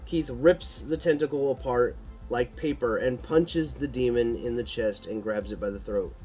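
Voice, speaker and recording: Guy reading his horror action story out loud, medium pitch, trips on words sometimes, music in the background. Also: moderate pace. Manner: stumbles and repeats words while reading. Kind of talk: amateur scripted audiobook narration, action horror.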